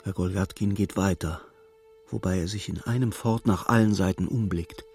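An actor as the narrator of a literary radio play, telling the story precisely and with dramatic suspense. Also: male voice, low-pitched, moderate pace, darkish tone, breathy edge. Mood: suspenseful. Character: male storybook narrator, vivid and urgent observer. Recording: studio radio play with music.

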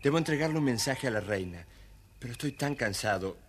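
A naive, confident storybook youth , played by a man. He speaks politely in a middling, animated voice. Faint noise sits behind him. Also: medium pitch, brisk, close to an everyday voice. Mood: weary, pleading. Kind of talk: children's audio story acting.

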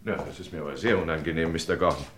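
A man playing an obliging, somewhat flustered gentleman in a German radio crime play, genial and hesitant. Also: a bass voice, rapid-fire, breathy edge, full character voice. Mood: flustered, puzzled.

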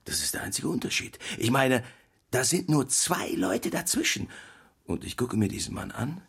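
Teasing orthodox husband, animated storyteller, medium pitch, husky, radio play. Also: male voice, normal speed, exaggerated voice. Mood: animated, amazed. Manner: theatrical anecdote telling with quoted dialogue.

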